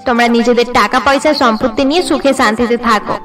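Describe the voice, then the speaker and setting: A high, youthful cartoon girl acted by a woman, the headstrong younger daughter. She speaks insistently and with defiance, with faint music underneath. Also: fast talker, lightly acted. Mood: hurt defiance. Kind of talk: Bengali cartoon dialogue.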